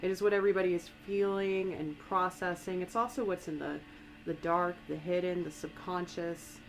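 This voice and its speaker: Woman, American accent, a bit raspy from a cold, soft ASMR reading, low-pitched. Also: fairly even intonation, normal speed, faint music behind, low-quality recording. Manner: soft, meandering, conversational. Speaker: tarot reader and astrologer. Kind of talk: ASMR-style channeled tarot reading.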